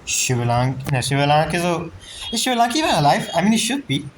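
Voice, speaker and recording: A woman's voice: low voice, big pitch swings, fast, heavy background noise. A woman gamer giving casual commentary on a playthrough, musing aloud in brief reactions. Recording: faint game music behind.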